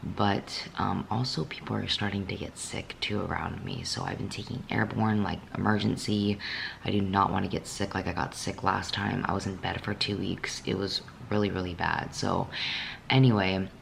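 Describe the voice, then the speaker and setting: A young woman with a raspy voice, just woken up, talking candidly in a casual vlog from her apartment, with many fillers. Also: rumbling low, fairly even intonation, moderate pace, slight background noise. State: just woke up. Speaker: fitness vlogger.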